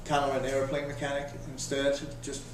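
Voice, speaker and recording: A man in his thirties with a Danish accent, answering interview questions in a halting, casual way with many restarts. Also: medium pitch, monotone, music in the background. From Denmark. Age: 30s. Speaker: interviewee, volunteer volleyball coach. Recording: echoey room, voice from a distance.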